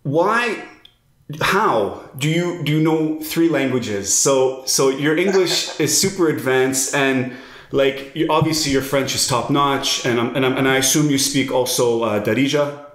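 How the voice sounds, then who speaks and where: A man's voice: mid-range voice, a bit bright. Young male host from Lebanon, casual and enthusiastic, echoey room sound. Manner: enthusiastic, uses "bro", quick bursts. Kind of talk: casual interview.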